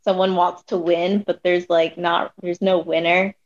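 A woman's voice: on the low side, level tone, unhurried, highs cut off. A woman in casual discussion, rambling, with lots of fillers.

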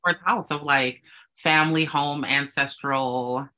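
A bright, clear-toned speaker with a blend of West Indian and American accent. They talk in an animated, storytelling way and swear casually. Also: medium pitch, takes it slowly. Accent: mixed West Indian and American. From United States, West Indian family. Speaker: guest. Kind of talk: casual chat.

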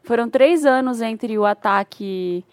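Chatty Brazilian woman, bright, dry mic. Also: fairly high pitch, measured pace, dry recording. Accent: Brazilian Portuguese. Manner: chatty storyteller, trails off and restarts. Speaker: podcast co-host. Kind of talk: casual chat.

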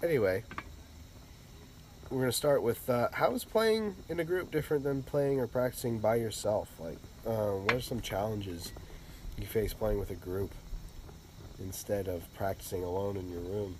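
A man with a stuffy, congested voice from a cold, hosting a casual podcast chat in a relaxed, rambling way. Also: lowish voice, moderate pace, noisy background. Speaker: podcast host.

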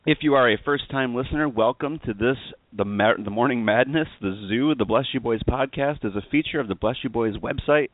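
A man's voice: mid-range voice, brisk, hardly any pauses, dry recording. A man hosting a podcast and interviewing in a conversational style, over a narrow phone-like line. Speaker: podcast host.

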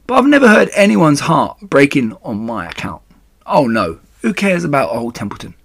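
A man's voice: medium pitch, wildly swooping pitch, normal speed, creaky, fairly bright tone, breathy edge, no room sound, voice pushed up, full character voice. A man voicing a grumpy, sarcastic male rat in a children's story, growling, mocking and full of self-pity.

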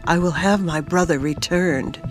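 A woman's voice: on the low side, normal speed, faint music behind, close to an everyday voice. A woman voicing an angry male elf hero who makes stern, defiant demands in a fantasy audiobook. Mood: demanding.

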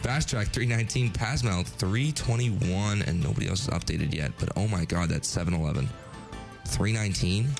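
Deep-voiced male radio traffic reporter. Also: moderate pace, vocal fry, over music. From New Jersey. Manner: laid-back, casual asides. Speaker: radio co-host reading traffic and gas report.

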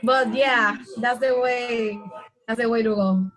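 High-voiced Dominican woman, chatty. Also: a little slow, fairly bright tone. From Dominican Republic. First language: Spanish. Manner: chatty and upbeat, laughs often. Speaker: guest. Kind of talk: casual podcast conversation.

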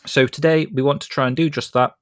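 A man's voice: medium pitch, fairly even intonation, brisk, no echo, clean studio sound. A man with a British accent hosting a podcast in a chatty, rambling way and laughing easily. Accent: British English. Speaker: podcast host. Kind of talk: casual podcast chat.

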